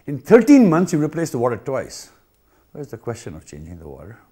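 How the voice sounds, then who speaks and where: A man's voice: medium pitch, huge pitch swings, a little fast, warm tone, a little hiss or hum. A man with an Indian accent giving an informal training talk, emphatic and repetitive, often checking in with rhetorical questions. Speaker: pool company trainer.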